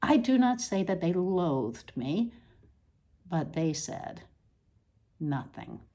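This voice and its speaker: A woman reading a literary essay aloud as scripted narration, with deliberate, measured and emphatic phrasing. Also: fairly low pitch, very animated, mellow. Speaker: essay reader.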